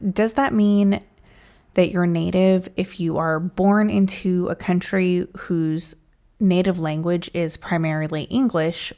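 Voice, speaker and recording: Woman teaching english, slow and lowish voice, lots of questions to the viewer. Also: a little mellow. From United States. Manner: thoughtful, poses many rhetorical questions. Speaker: English teacher and channel host. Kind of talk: explanatory lesson to camera.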